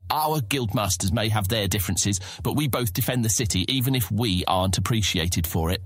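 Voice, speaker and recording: An actor playing an earnest, guarded young knight on a mission in a dramatized fantasy audiobook. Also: male voice, lowish voice, fairly quick, slightly rough, no echo.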